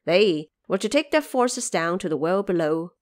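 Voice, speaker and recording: A woman's voice: lowish voice, expressive, normal speed. A woman narrating an audio drama story from a script.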